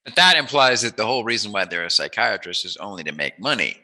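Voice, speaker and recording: A man's voice: fairly low pitch, lively intonation, fast, pushed and bright. A man hosting an interview in a casual, joking way, with a playful, rambling, musing manner. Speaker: interview host.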